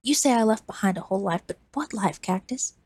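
A woman's voice: medium pitch, animated, a little fast, darkish tone, slightly rough, no room sound. A woman voicing an eager, trusting young man who talks in earnest, pleading bursts.

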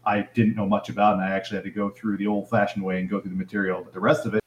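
A man in his thirties calling in to a live show, chatting quickly and casually, his voice often trailing off at the ends of phrases. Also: fairly low pitch, little pitch movement, slight background noise. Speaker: caller. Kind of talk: casual call-in chat.

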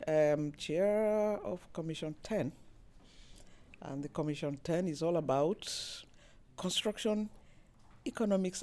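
Woman, mellow tone, halting, lots of uh and um. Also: sits low. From Nigeria. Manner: hesitant, many fillers and restarts. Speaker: interviewee, managing director. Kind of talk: video interview introduction.

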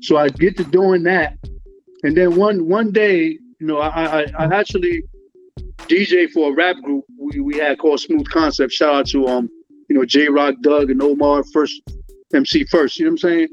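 A man's voice: fairly high pitch, fairly even intonation, moderate pace. Laid-back slangy man, muffled lo-fi sound. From Liberia. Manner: laid-back, slangy, many fillers and "you know what I'm saying". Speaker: guest musician. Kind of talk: casual interview talk. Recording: dull lo-fi sound with faint music.

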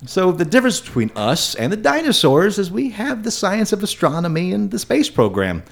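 Male podcast host, reads news items, lively pitch, quick laughs. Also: creaky. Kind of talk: casual chat and read news segment.

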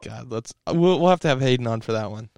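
A man's voice: medium pitch, expressive, quick pace, vocal fry, darkish tone, smooth, no echo. A young man hosting a podcast in a laid-back, joking way, swearing freely. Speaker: podcast host. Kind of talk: casual chat.

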